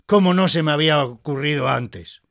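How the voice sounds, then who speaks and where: A man performs a lovelorn schoolteacher in an audio drama, his voice on the high side. He is nervous and hesitant, and wounded reproach runs through his impassioned delivery. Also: moderate pace, gravelly, a bit bright. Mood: wounded, reproachful. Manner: hesitant, stammering, turning impassioned. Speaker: actor.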